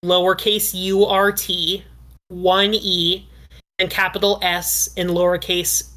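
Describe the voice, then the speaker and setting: A woman's voice: on the low side, little pitch movement, slow, a bit bright. A woman talking casually as a panelist on a podcast. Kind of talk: casual chat.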